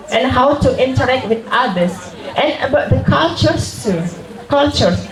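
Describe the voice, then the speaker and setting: A woman's voice: fairly high pitch, darkish tone, echoey room, low-quality recording. A woman who grew up speaking English as a guest speaker at a school, explaining carefully with repeated examples. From Turkey. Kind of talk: school language talk. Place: school hall.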